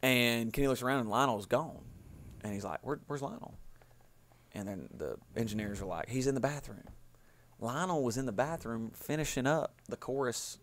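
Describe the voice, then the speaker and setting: A hoarse man, co-hosting a podcast with teasing banter and lively storytelling. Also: mid-range voice, moderate pace, a little hiss or hum. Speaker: podcast co-host. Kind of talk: casual banter.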